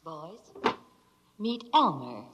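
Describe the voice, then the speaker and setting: A woman's voice: low voice, very animated, very slow, muffled recording, a little hiss or hum, heavily acted. A woman playing a young, romantic spaceship computer, a haughty and coaxing jilted lover in 1950s radio science fiction. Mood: smug. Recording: vintage radio recording, long hall reverb.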